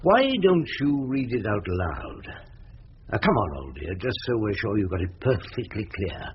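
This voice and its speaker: An older English barrister in a radio play, wry and argumentative. His delivery is theatrical and animated, swinging widely in pitch, with drily ironic turns and fond endearments. The sound is slightly muffled, like a lo-fi broadcast. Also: moderate pace, a little hiss or hum. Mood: coaxing. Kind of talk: British radio drama acting.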